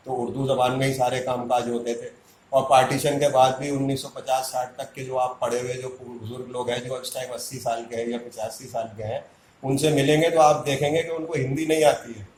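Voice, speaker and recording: A man's voice: medium pitch, a little mellow, echoey room, slight background noise, rough recording. A man makes an indignant public statement as a community activist, arguing hard and firing off rhetorical questions.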